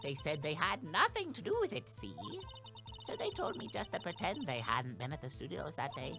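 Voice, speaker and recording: A man's voice: fairly high pitch, very animated, a little fast, gravelly, music in the background. A man voicing a nagging, gossipy, indignant old woman witness in a video game, huffy and rambling as they scold. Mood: huffy confiding.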